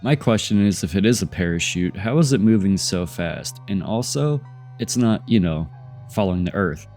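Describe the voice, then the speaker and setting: A man's voice: low voice, lively intonation, moderate pace, darkish tone, faint music behind. A congested man with a stuffy voice from a cold, hosting and narrating video footage in a skeptical tone that poses questions. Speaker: channel host narrating UFO footage. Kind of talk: voice-over commentary on video clips.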